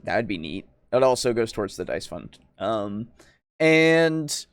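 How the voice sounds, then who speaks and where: A man's voice: fairly low pitch, very animated, a little slow, smooth. A man hosting a casual livestream chat in an informal, rambling way with some swearing. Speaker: show host.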